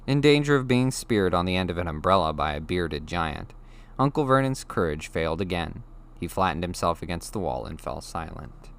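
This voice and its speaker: Guy with a lowish voice narrating a storybook, reading from the text. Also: very animated, normal speed, fairly bright tone, smooth. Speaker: audiobook narrator.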